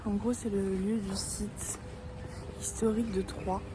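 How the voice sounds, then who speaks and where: A woman talks casually to camera as a travel vlogger. Her pitch is average and her humor is dry and self-deprecating. The handheld recording carries clear background noise, with an ambient vehicle rumble. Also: level tone, a little slow.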